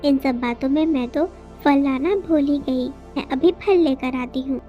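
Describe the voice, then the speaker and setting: A woman's voice: high-pitched, unhurried, lo-fi sound, faint music behind. A woman voicing an anxious, tender widowed mother bird in a children's cartoon, pleading and earnest. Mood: worried, sorrowful. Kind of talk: Hindi children's cartoon dialogue.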